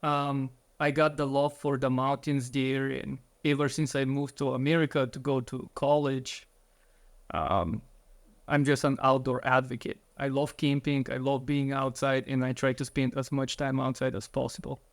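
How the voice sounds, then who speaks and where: A man's voice: medium pitch, flat delivery, normal speed. A man from Bulgaria, in his thirties, earnestly telling his story as an interview guest, with slightly phrasing.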